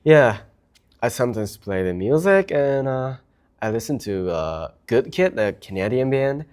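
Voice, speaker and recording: An enthusiastic man talks casually as a guest, his English carrying a Japanese accent from his native language. His voice sits at a middle pitch for a man. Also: big pitch swings, a little slow, darkish tone, clear, resonant tone. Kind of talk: casual chat.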